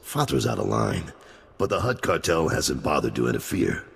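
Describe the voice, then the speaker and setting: Brief: very deep, rough, husky crime lieutenant, blunt, echoing hall. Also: male voice, sing-song, moderate pace, full character voice. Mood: weary. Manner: blunt, warning. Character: gravelly middle-aged male crime lieutenant, wary and blunt. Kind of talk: video game cut-scene acting.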